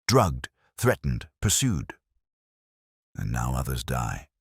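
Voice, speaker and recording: A man's voice: very deep voice, huge pitch swings, slow pace, gravelly, husky, no room sound, clean studio sound, voice pushed down, heavily acted. A man voicing a pompous, brooding older detective who speaks in formal, clipped, coldly precise sentences in an audiobook story. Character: pompous, imperious older male genius detective, terse and brooding.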